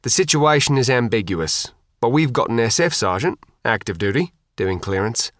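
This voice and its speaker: A man voicing a calm, authoritative flotilla commodore in an audiobook, giving direct orders in an informal way. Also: mid-range voice, moderate pace, no echo, studio quality, natural voice. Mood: calm, commanding. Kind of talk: audiobook character dialogue.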